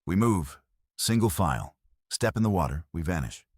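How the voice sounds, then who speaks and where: Storybook role: man playing a cold, calculating general, extremely deep with a rough edge, clipped orders. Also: big pitch swings, normal speed, no echo, clean studio sound, full character voice. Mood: firm, commanding. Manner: terse, commanding, analytical. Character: stern, calculating male military commander Napoleon.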